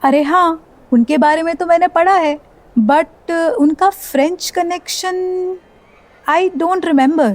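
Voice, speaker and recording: A woman's voice: very high-pitched, takes it slowly. A woman with an Indian accent playing a curious, respectful young university student in conversational radio play dialogue. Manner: inquisitive, respectful.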